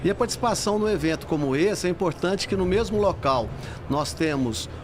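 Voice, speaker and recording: A man's voice: sits high, expressive, a little fast, over music. A man with a Brazilian accent giving informal, explanatory answers as an executive guest in an interview. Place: convention centre trade fair. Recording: faint event hall background noise.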